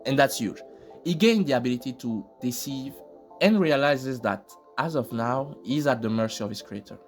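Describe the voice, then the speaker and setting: Lively male commentator, slangy. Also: mid-range voice, normal speed. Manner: informal, joking, slangy. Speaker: host of reaction commentary videos. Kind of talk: casual scripted commentary with slang. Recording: loud music behind the voice. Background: loud music.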